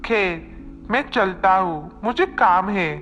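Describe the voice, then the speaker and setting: A woman's voice: medium pitch, animated, slow, long reverb tail, music in the background, pitched below the performer's own voice. A woman voicing a knowing, reproachful older man who runs an orphanage, in a children's story.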